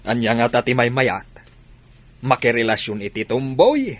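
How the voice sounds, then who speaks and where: A man's voice: medium pitch, big pitch swings, moderate pace, no echo, natural voice. A man playing a teasing, reasoning village uncle with an Ilocano accent in a comedy radio drama.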